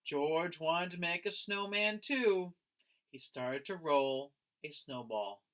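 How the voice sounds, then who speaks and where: A man, a teacher, reading a picture book aloud to young children in an expressive storytelling style. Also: fairly high pitch. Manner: expressive, slow, addressing listeners as friends.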